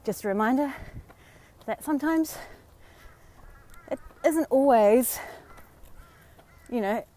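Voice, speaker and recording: An Australian woman vlogging live on a walk outdoors, slightly hoarse from a sore throat, talking in a chatty, encouraging way with many fillers. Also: sits high, slow pace, dark tone, slight background noise. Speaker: vlogger and dating coach. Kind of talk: casual live-stream talk.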